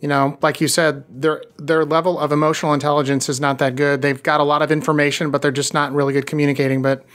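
A man's voice: a little high, level tone, fairly bright tone, faint music behind. A man hosting a business podcast, chatting with a guest in an enthusiastic, rambling way. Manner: enthusiastic, rambling, drawn-out phrasing. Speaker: podcast host. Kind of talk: casual interview chat.

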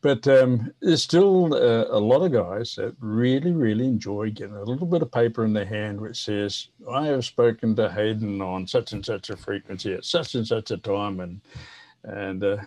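A man in his seventies with a New Zealand accent, chatting and explaining casually in a halting way with repeated words. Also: lowish voice, darkish tone. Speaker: guest, veteran amateur radio operator. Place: home office, indoors.

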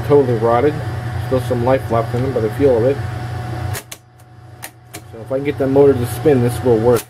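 Man muttering through repairs over background noise. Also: mid-range voice. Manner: halting, thinking aloud while working. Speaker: electronics repair hobbyist hosting a video. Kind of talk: casual hands-on repair commentary. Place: small indoor workshop at a workbench. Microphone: handheld camera's built-in microphone. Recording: noisy handheld camera sound, steady background hum.

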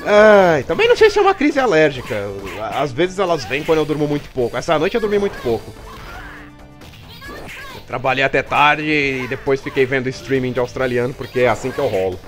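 A man's voice: medium pitch, very animated, fairly quick. A Brazilian man with a Brazilian Portuguese accent, who is catching a cold, hosting a comic let's play and clowning through invented dialogue for the characters. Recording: game music behind.

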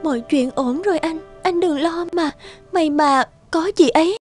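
A weak, tender young wife in a cartoon drama, high in pitch and gentle, with music clearly behind her. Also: moderate pace. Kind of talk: dubbed cartoon drama acting.

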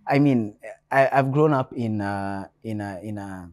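A Kenyan man from Nairobi speaking as a guest on a panel, measured and reflective, often restarting his sentences. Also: fairly low pitch, a little mellow. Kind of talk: panel discussion. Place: hotel event space.

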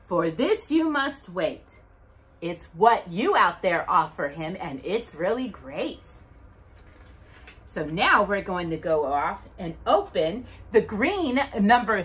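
A middle-aged woman reads aloud for a children's storytime. Her delivery is slow and sing-song, with very lively rises and falls. She speaks directly and expressively to young listeners. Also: medium pitch. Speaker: storytime host. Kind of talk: children's storytime, reading verse and scripture aloud. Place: indoors at home by a brick fireplace.

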